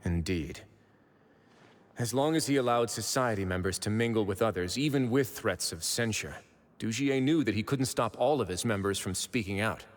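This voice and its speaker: A man playing a composed, shrewd duke of a prison fortress in an anime-style video game, speaking in a measured, deliberate way. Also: on the low side, close to an everyday voice. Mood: pondering. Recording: music playing behind the voice.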